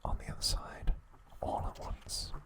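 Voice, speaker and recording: A man narrating scripted ASMR in a breathy, unvoiced whisper, with hushed, deliberate phrasing and pauses. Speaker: ASMR host.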